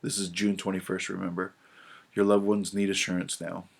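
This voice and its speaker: Low, nasal man with a cold. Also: little pitch movement, vocal fry, husky. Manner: halting, many ums and restarts. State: stuffed-up nose from a cold. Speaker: astrology video presenter. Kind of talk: casual talk to camera, reading notes.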